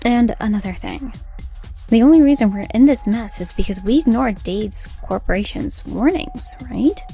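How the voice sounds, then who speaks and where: Woman acting as an earnest, high-voiced young store manager. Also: lively intonation, moderate pace, vocal fry, dark tone, faint music behind. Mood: firm, challenging. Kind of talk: dubbed comic voice acting.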